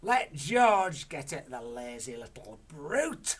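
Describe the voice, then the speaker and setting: A storyteller voicing a bossy, bad-tempered elderly grandmother who rants loudly and slowly. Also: mid-range voice, very animated, a little hiss or hum, voice pushed up. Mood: indignant shouting. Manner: loud, slow, indignant ranting. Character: bossy cranky elderly grandmother, shrill and demanding.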